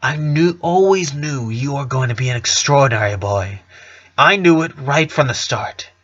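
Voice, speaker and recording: Man reading as bright-voiced, fond storytelling dad. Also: mid-range voice, expressive, moderate pace, dull audio. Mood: earnest, affectionate. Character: warm, nostalgic, joking middle-aged father telling family stories. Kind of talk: cartoon fan fiction reading.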